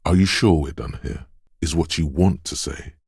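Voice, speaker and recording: A man voicing the quick-witted, alert inner voice of a detective's reflexes in a video game, narrating dramatically in the second person with a deep, smooth, intense delivery. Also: darkish tone, dry recording, studio quality, full character voice. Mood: alert, wry.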